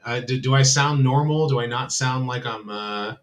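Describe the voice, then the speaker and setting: A man's voice: medium pitch, moderate pace. A man hosting a casual livestream chat with his viewers, rambling loosely and leaning heavily on filler words. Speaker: livestream host. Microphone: computer mic on a livestream.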